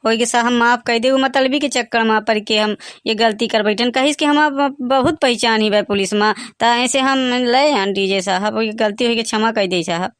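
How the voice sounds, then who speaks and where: A man playing a meek, apologetic villager caught by police in a comic cartoon, with a Bagheli accent and a pitched-up voice. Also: moderate pace, fairly bright tone, smooth, no echo, studio quality. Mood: pleading. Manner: deferential, apologetic.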